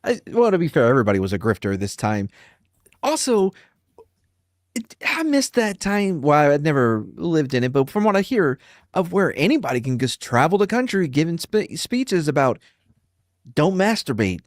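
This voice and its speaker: Male podcast co-host, animated and profane, very dry sound. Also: on the high side, moderate pace, no room sound. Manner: animated, jokey, swears freely. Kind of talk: casual profane banter.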